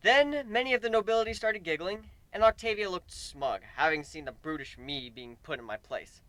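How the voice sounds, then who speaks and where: An expressive man narrates a story with lively, swinging intonation. His voice is slightly high, clean and bright, with an emphatic dramatic delivery. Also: moderate pace, dry recording. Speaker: amateur story reader.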